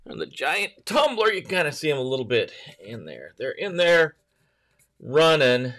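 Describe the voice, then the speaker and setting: A man's voice: fairly high pitch, very animated, unhurried, a bit bright. A man hosting a casual morning livestream, greeting viewers by name and rambling loosely while correcting himself. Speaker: livestream host.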